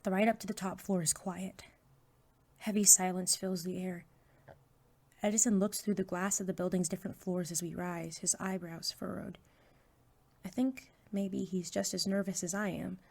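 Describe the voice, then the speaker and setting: A woman's voice: on the low side, creaky, warm tone. A woman playing a young superhero sidekick in an audio drama, nervous but eager, speaking dialogue and first-person narration.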